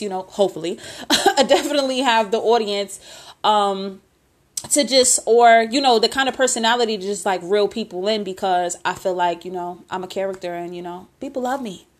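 A woman in her late twenties hosting a casual solo podcast intro, rambling and candid, with lots of filler and mild swearing. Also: medium pitch. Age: 20s. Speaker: podcast host.

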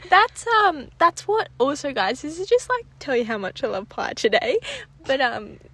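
A woman's voice: high voice, big pitch swings, normal speed, a loud crowd. A young woman co-hosting a podcast, bubbly and giggly, talking straight to her listeners. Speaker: podcast co-host. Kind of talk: casual chat.

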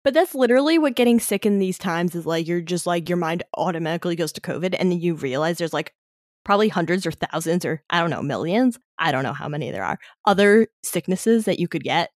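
A woman's voice: fairly low pitch, expressive, fast talker, no echo, clean studio sound. A young woman co-hosting a casual podcast chat, thinking aloud in loose, rambling sentences full of hedges. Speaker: podcast co-host.

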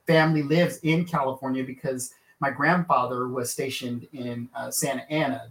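A man's voice: mid-range voice, fairly even intonation, normal speed, a bit bright. A man from Kansas, an author and lawyer, telling his life story in a casual interview, rambling with lots of fillers and restarts. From Kansas, USA. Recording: echoey room.

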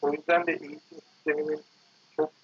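Casting: man, very raspy and creaky, halting and self-correcting interviewee, casual and reflective, dull lo-fi sound. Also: a little high, flat delivery. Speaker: architect guest being interviewed.

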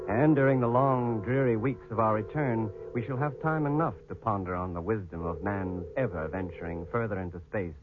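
An actor playing a solemn, weary astronaut who narrates his expedition journal in a measured, formal 1950s radio drama style. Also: on the low side, natural voice. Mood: reflective, weary. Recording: vintage 1950s radio, narrow band, music behind.

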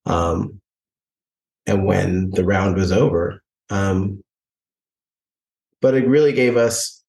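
A man co-hosting a relationship podcast, speaking reflectively and haltingly with frequent restarts. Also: deep voice, steady tone, creaky, a little mellow, roomy sound, distant mic, low-quality recording. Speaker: podcast co-host. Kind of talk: casual couple chat.

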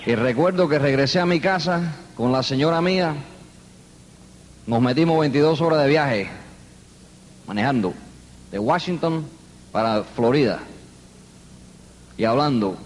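A man's voice: mid-range voice, a little slow, long pauses, a little hiss or hum. Earnest older man, speaking in an echoing hall. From New York, United States. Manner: earnest storytelling, emphatic repetition. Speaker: motivational speaker. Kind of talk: motivational speech to a live audience.

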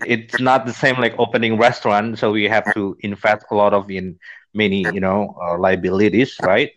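A man's voice: lowish voice, fairly bright tone, highs cut off. An Indonesian man with an Indonesian accent, a business trainer chatting on a call and speaking with frequent fillers and restarts. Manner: halting, many fillers and restarts. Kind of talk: casual conversation.

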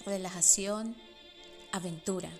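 A woman in her forties with a Venezuelan Spanish accent, reading her own personal essay in a reflective, measured way. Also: medium pitch, soft background music. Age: 40s. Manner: reflective, measured, with soft laughs. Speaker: podcast host and writer.

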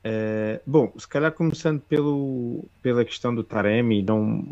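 A man with a European Portuguese accent giving a measured, hesitant analysis on a casual panel. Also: medium pitch, steady tone, a little mellow, no room sound. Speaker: football pundit. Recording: dull lo-fi dry sound.

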